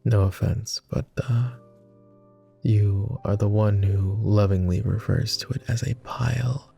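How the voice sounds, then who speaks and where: Man acting as a low, breathy, tender vampire lord. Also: steady tone, measured pace, faint music behind, exaggerated voice. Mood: gently inviting. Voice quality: soft, breathy, gravelly. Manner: hesitant, formal old-fashioned phrasing. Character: clingy, flustered male vampire lord, tender, formal, teasing. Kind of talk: intimate ASMR boyfriend roleplay.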